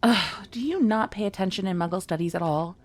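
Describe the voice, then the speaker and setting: A woman playing an excitable, bookish, friendly young witch student in a roleplay, chatty and earnest, speaking straight to the listener. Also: mid-range voice, fairly quick, close to an everyday voice. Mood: teasing. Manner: chatty, rambling, giggly, earnest. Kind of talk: scripted one-sided roleplay addressed to the listener.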